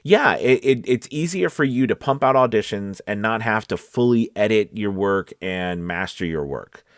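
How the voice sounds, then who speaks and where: A man's voice: on the low side, lively intonation, normal speed, no echo. A man speaking as a voice-over coach in a casual tutorial, conversational and often checking in with his listeners. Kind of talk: casual talking-to-camera tutorial. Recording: close, dry home studio sound.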